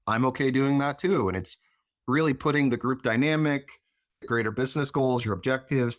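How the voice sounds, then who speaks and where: A man speaking as an interview guest in a reflective, explanatory way, phrasing his thoughts in a measured manner. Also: medium pitch, no room sound, clean studio sound. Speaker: interview guest, chief of staff.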